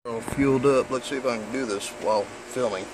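A man giving a relaxed, rambling review of his gear outdoors, recorded on a handheld phone. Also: mid-range voice, a little fast, noisy background. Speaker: product reviewer. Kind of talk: casual product review. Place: outdoors on a lawn beside a carport. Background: running engine and spraying water.

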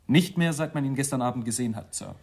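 An actor playing a dutiful, clipped, official police desk officer in a radio crime drama. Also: male voice, medium pitch, animated, fast, creaky, darkish tone.

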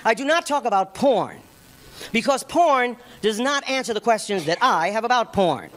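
An older man from New York City doing stand-up comedy, emphatic and animated, performing to a crowd. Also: very high voice, moderate pace. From New York City, United States. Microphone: stand microphone on stage. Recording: noisy comedy club with audience.

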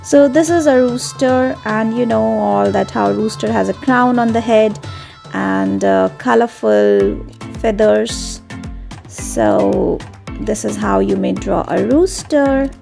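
Lady doing a slow kids how-to voiceover, step by step, faint music behind her. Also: mid-range voice, lively intonation, vocal fry, darkish tone. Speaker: drawing tutorial host. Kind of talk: instructional narration for children.

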